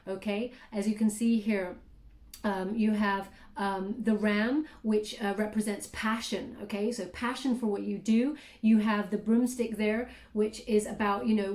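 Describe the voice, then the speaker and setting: Mellow-voiced woman giving a chatty tarot reading. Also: mid-range voice, roomy sound. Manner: chatty, frequent fillers and "okay?" check-ins. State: clearing throat, voice catching. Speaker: tarot reader.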